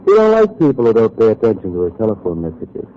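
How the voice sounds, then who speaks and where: A man's voice: on the low side, huge pitch swings, quick pace, soft background music. An actor playing a menacing, taunting gangster henchman with uneducated tough-guy talk in a 1940s radio crime drama. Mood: taunting menace. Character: menacing, taunting male gangster henchman, slow-witted thug. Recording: muffled, hissy 1940s radio recording.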